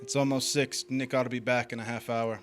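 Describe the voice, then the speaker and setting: A man's voice: medium pitch, level tone, brisk, a bit bright, soft background music, natural voice. An actor playing a cocky drifter in a stage drama, needling and flirting with a married woman. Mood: low-key. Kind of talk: stage drama performed online, with first-person narration.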